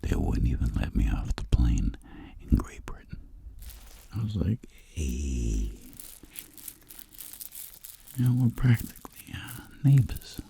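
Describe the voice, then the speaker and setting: A man voicing a boastful, roguish tingle-dealing world traveler in a whispered ASMR roleplay, telling rambling deadpan tales in a breathy, gravelly voice. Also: rumbling low, slow pace, long pauses, noisy background, heavily acted. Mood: boastful, playful.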